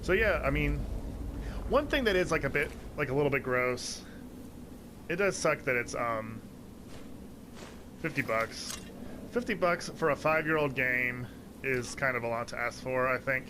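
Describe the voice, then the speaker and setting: A man's voice: unhurried, pauses often. Rambling young man with noisy background. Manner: rambling, heavy use of "like" fillers; excitable, jumps in pitch when enthused. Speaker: let's play commentator. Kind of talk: casual rambling commentary over gameplay. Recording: steady noise behind voice.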